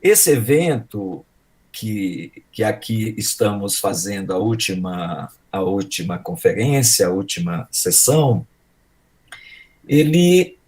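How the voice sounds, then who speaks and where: An older man with a mixed Maranhão and São Paulo Brazilian accent, a professor giving unscripted opening remarks in a halting, reflective way. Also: on the low side, very animated, darkish tone. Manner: halting, reflective, seeks agreement with tags. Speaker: speaker, education professor. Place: indoors, online video call.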